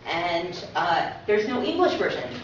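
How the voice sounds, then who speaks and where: A distant, muffled recording of a middle-aged woman presenting in a very echoey room. She explains things conversationally, like an informal lecture, at an ordinary pitch, over faint noise. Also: big pitch swings, measured pace. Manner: conversational, explanatory, often tags points with "right?". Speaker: co-presenter, university teacher. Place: indoor talk room. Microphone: distant from speaker.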